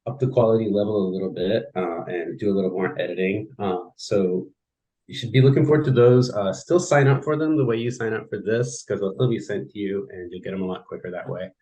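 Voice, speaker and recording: A man hosting a live-streamed museum studio program, speaking loosely and haltingly with many fillers. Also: lowish voice, dark tone, poor audio. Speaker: studio programs manager, host. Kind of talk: casual live-stream introduction.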